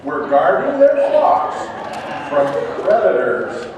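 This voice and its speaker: A man's voice: sits high, very animated, takes it slowly, dull audio. A man narrating a scripture dramatization to a congregation in a church. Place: large church interior. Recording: echoey church hall from far off, faint noise.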